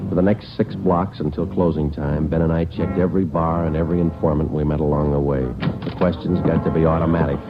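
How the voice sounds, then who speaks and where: An actor playing a terse, weary American police detective in a 1940s radio drama, with clipped, deadpan, understated delivery. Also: male voice, very deep voice, a little fast, hardly any pauses. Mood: flat, grim. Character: terse, weary male police detective sergeant narrating a manhunt. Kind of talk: 1940s radio police drama acting, dry first-person narration. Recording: muffled vintage radio recording, music behind.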